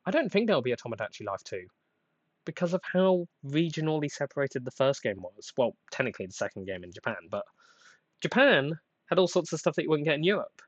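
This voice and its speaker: Young male podcast host, rambling and hesitant, plain flat voice, slightly under the weather; dry, dull lo-fi mic. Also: a little high, fast talker, dry recording. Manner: rambling, self-deprecating, hesitant with many uhs and restarts. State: getting over a cold. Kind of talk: casual solo podcast rambling.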